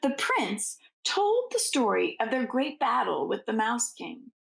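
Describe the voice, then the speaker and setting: A woman's voice: on the high side, wildly swooping pitch, a little slow, creaky, low-quality recording. A woman reading a storybook aloud to children like a schoolteacher, in an informal way. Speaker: teacher reading aloud to students.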